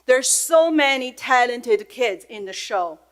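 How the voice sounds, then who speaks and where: A woman's voice: on the high side, expressive, slow. Earnest middle-aged woman with Chinese accent. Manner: earnest, emotional, reading from prepared notes. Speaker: public commenter at a school board meeting. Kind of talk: prepared public comment. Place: public meeting room.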